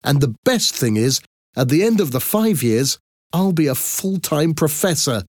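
A man performs a pleased, proud museum archaeologist from a storybook. He presents formally with emphatic, melodic phrasing in a raised pitch, and the sound is dry. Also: sing-song, normal speed, darkish tone, no room sound. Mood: delighted.

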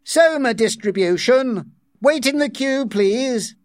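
A man's voice: very high voice, animated, takes it slowly, dry recording, studio quality, pitched above the performer's own voice, exaggerated voice. A man reading an audiobook as a flustered male official who is formal, polite and trying to keep order. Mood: loud announcing.

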